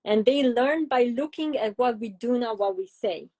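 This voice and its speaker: A woman's voice: fairly high pitch, moderate pace, darkish tone. A middle-aged woman from Romania with a Romanian accent, a child psychologist being interviewed, explaining ideas through examples with frequent fillers. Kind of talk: interview conversation.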